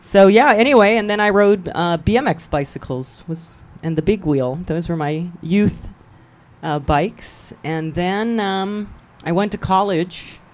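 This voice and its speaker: A woman's voice: mid-range voice, a little slow, darkish tone. A woman from Hawaii, a guest on a local radio show, telling her story in a chatty way and laughing easily. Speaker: guest, cycling event promoter. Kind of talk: casual radio chat.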